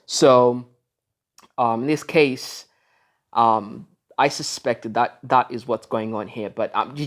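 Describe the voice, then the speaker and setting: Lecturing African man giving reaction commentary. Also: mid-range voice. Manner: preachy, lecturing, with many restarts and fillers.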